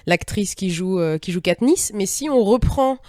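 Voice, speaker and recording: A woman's voice: mid-range voice, expressive, normal speed, warm tone, no echo, clean studio sound. A woman talking informally as a panelist in a discussion, searching a little for her words as she explains.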